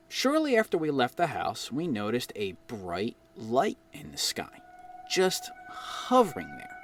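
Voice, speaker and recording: A middle-aged American man from New Jersey narrating his own eerie experiences with dramatic pauses. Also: fairly low pitch, a bit bright. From New Jersey, United States. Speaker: guest storyteller reading own experience. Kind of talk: personal ghost story narration. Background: background music.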